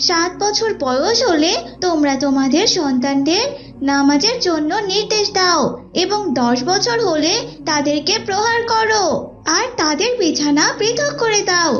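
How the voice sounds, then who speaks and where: A woman's voice: extremely high pitch, expressive, measured pace. A woman voicing a pious, insistent young big sister who nags and admonishes in an Islamic cartoon, in a dull recording. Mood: admonishing. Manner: nagging, admonishing. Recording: dull lo-fi audio with music behind.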